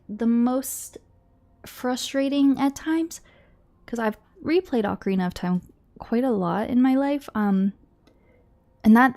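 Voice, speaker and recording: A woman's voice: mid-range voice, gravelly. A young woman streaming a game, chatting casually with her viewers, sounding congested and a bit nasal from a cold, rambling and restarting her sentences. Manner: chatty, rambling with repeated restarts and quiet asides.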